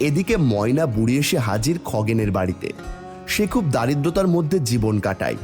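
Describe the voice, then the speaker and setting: A male storyteller narrates a cartoon tale from a script. His mid-pitched voice moves up and down in a lively way, with music behind it. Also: a little fast.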